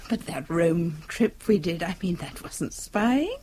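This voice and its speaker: An actress playing a spirited young English wife with an English accent in a radio drama. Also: female voice, fairly low pitch, lively intonation, slow pace, noisy background. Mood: excited, curious.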